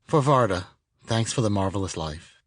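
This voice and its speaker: A man's voice: fairly low pitch, animated, moderate pace, no echo. A man reading his own nonfiction audiobook as a scripted narration, recorded in a studio.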